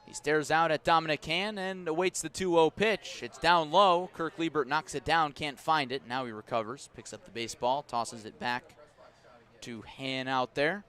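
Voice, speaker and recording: A man doing live radio baseball play-by-play, describing each pitch in detail and filling in with player stories. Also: moderate pace. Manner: descriptive, storytelling between pitches, rising to excited calls on big plays.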